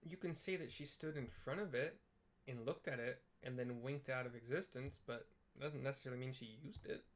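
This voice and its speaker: A man narrating a video game playthrough in a casual, halting way, musing aloud as he goes. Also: medium pitch.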